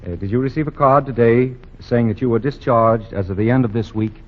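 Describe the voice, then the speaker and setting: An actor playing a pompous, benevolent store owner in a 1940s radio play, formal and halting. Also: male voice, mid-range voice, close to an everyday voice. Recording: dull old radio broadcast.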